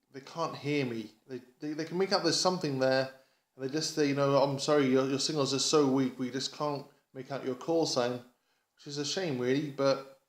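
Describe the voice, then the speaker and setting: Echoey, enthusiastic man from England, rambling casually. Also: mid-range voice. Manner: enthusiastic, rambling, halting with repeated words. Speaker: amateur radio hobbyist vlogger. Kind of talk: casual vlog update. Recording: echoey, roomy sound.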